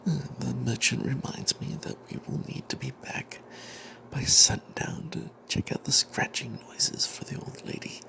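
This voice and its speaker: A man tells a tabletop fantasy adventure as an in-character narrator, in a breathy, hushed, secretive ASMR whisper with dramatic pauses. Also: mid-range voice, rough recording. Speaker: narrator telling the tale in character as a cleric.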